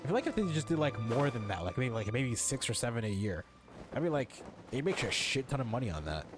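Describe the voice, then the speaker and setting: A man's voice: mid-range voice, big pitch swings, fast. Rambling young man doing game commentary. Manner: slangy, rambling, repeats himself. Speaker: game streamer. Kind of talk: casual gameplay commentary. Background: game music.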